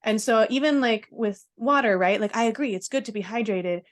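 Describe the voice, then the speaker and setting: A woman's voice: fairly high pitch, a little fast. A woman speaking as an interview guest, explaining things carefully and casually, often checking with a short tag question. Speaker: podcast guest, non-diet nutrition professional.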